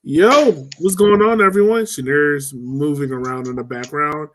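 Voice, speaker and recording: A man's voice: a little high, a little slow, music in the background. A man hosting a casual sports podcast, upbeat and loose, laughing easily with his co-hosts. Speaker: podcast host.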